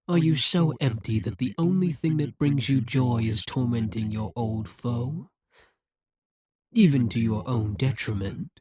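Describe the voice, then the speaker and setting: A man performs a possessive demon king who speaks slowly and deliberately, his husky voice set close to the mic in a dry, dead room. He sounds coldly menacing and coaxing, a ruler used to bending others to his will. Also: mid-range voice, mellow, no room sound. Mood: exasperated. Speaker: voice actor. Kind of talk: audio roleplay.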